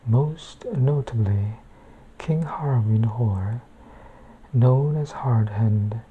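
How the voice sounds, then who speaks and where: A woman reading a book aloud in a hushed, soft-spoken ASMR bedtime style, often slipping toward a whisper. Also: a bass voice, extremely slow, background noise. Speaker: book reader, ASMR channel host.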